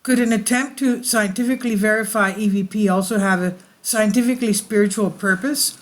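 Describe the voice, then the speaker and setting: A woman's voice: medium pitch, steady tone, brisk. A woman hosting her channel, reading an article aloud and often stumbling and correcting herself mid-sentence. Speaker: channel host.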